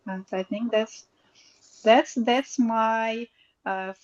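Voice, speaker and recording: A woman's voice: on the high side, fairly even intonation, very slow, muffled recording. A woman speaking as a guest, giving casual, emphatic advice in non-native English phrasing over an online audio call.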